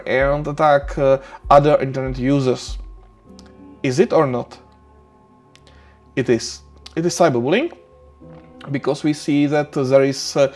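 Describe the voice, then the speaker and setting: A middle-aged man with a Czech accent, lecturing over slides in a small room, hesitant with many fillers and restarts. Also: fairly high pitch. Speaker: university lecturer.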